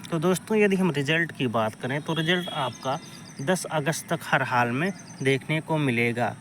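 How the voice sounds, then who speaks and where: Casting: man as exam coach, fairly high-voiced, informal and methodical, repeats phrases, noisy urban backdrop. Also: moderate pace, a bit bright. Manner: repetitive, step-by-step phrasing. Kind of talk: informal explanatory exam analysis addressed to students.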